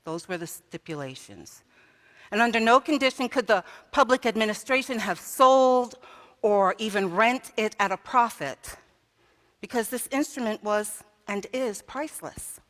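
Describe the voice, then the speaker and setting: A woman's voice: on the high side, lively intonation, a little slow, a bit bright. A woman minister preaching a sermon in a church, telling a story with rhetorical questions and building to emphatic peaks. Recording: long hall reverb.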